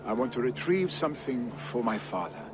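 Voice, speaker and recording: Man playing an animated, proud young Viking noble. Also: medium pitch, a little fast, music in the background, natural voice. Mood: fond, proud. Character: proud, warm Viking jarl's son, confident adventurer. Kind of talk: video game cutscene acting.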